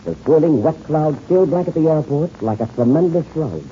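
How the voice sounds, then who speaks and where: A man's voice: a little high, lively intonation, moderate pace, mellow, highs cut off, slight background noise. A man narrating an adventure serial as a 1930s radio announcer, florid and theatrical, on an old radio recording.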